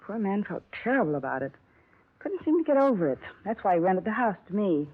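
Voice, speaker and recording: A woman's voice: mid-range voice, very animated, fairly quick. Casting: a gossipy, talkative radio-drama landlady, tinny old broadcast sound. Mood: chatty. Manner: chatty, rambling and gossipy. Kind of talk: 1950s radio drama acting.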